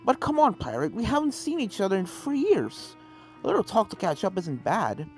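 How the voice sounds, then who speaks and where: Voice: medium pitch, huge pitch swings, moderate pace, a little mellow. A reader voicing a cheerful, chatty younger-brother unicorn stallion who teases, in a fantasy story over game music. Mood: teasing, eager. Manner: chatty, teasing.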